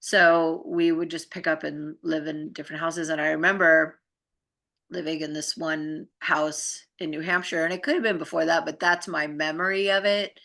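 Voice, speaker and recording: A woman's voice: deep voice, level tone, normal speed, creaky, a bit bright. A woman in a casual chat, telling personal stories in a rambling, informal way and often trailing off mid-thought. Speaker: spiritual practitioner in conversation.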